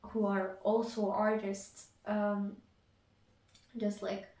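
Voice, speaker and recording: Slow, halting woman vlogging. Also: mid-range voice, level tone, a little mellow. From Ukraine. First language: Ukrainian. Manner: hesitant, many fillers and restarts. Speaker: artist vlogger. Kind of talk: casual get-to-know-me Q&A. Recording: echoey room, mic at a distance.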